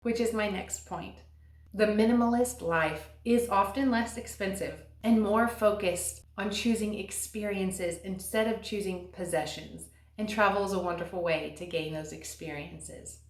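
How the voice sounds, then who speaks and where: A woman in her thirties giving earnest, encouraging advice to camera, slowing down to stress key words. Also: mid-range voice. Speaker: vlogger and stay-at-home mom. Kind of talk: scripted advice talk to camera. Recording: echoey room.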